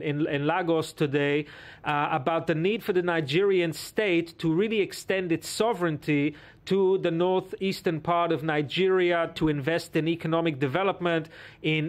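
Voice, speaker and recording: A man speaking as an academic guest in a news interview, asking an analytical question in articulate, scholarly wording. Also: fairly high pitch.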